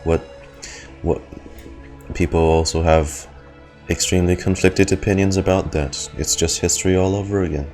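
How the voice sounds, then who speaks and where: A man's voice: low voice, fairly even intonation, moderate pace, long pauses, darkish tone, soft background music. A man giving casual reaction commentary as a game streamer.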